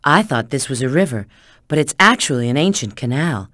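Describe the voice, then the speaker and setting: A woman's voice: rumbling low, animated, brisk, fairly bright tone, no room sound, studio quality. A woman voicing a sly, flirtatious, confident treasure-hunting agent in a video game, giving hints and callouts with teasing assurance. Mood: curious, alert.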